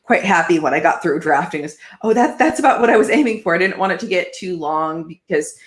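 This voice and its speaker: A woman author hosting an interview, talking in a relaxed, friendly way and laughing easily. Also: on the low side, fairly quick. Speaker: author host. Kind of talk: casual interview chat. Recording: echoey room.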